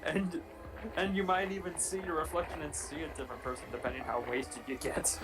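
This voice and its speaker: A man's voice: fairly high pitch, brisk, poor audio. A man bantering casually and jokily over a film, riffing with sweary mock bombast. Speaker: co-host of a comedy film commentary show.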